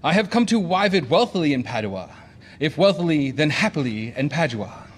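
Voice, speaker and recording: A man playing a blustering, boastful gentleman fortune-hunter in a declamatory Shakespearean audio play. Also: on the high side, very animated, normal speed. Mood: confident. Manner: declamatory, swaggering.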